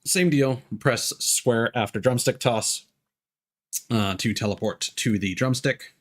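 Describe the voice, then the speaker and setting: A man giving casual live gameplay commentary as a streamer, explaining things haltingly and thinking aloud. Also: mid-range voice. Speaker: game streamer. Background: game music.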